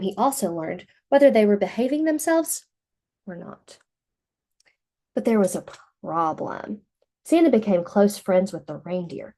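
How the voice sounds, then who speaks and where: Animated, mellow woman reading a storybook. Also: mid-range voice. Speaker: storybook reader for children. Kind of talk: read-aloud storytelling for young children.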